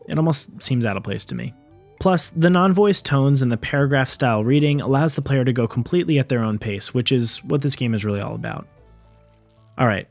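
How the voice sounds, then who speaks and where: A man delivers scripted explainer narration as a video host. His pitch is mid-range for a man. The sound is dry, with no room to it. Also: no room sound. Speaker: video essay host on game sound design.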